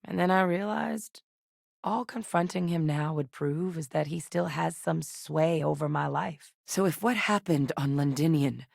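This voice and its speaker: A woman voicing a resolute, earnest military scientist in a video game, speaking in a serious, measured and articulate way. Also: deep voice, gravelly, a little mellow, no echo, studio quality, heavily acted. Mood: subdued, reflective. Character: resolute female military scientist and soldier, earnest, duty-bound. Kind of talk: acted game dialogue.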